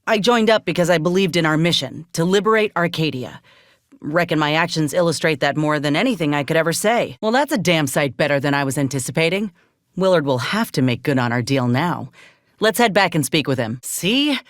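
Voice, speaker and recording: An actress playing a plucky, principled ex-soldier turned freelancer in a space-frontier video game, with plainspoken, folksy phrasing. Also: female voice, low voice, lively intonation, a little fast, no room sound, clean studio sound. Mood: earnest, guarded, then frustrated. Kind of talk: video game character acting.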